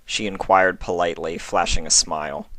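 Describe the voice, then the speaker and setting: A man's voice: deep voice, steady tone, normal speed, bright, forceful tone, no room sound. A man reading a story aloud as its narrator, in scripted storytelling delivery. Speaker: fan fiction reader, narrator.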